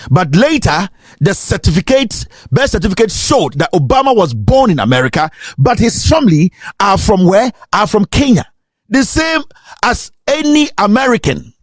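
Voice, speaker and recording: A Ghanaian man delivers a fiery political commentary in a high voice that swings widely in pitch. He is indignant and emphatic, hammering rhetorical questions, and the sound is dry and close. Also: sing-song, moderate pace, no echo. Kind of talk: impassioned political monologue.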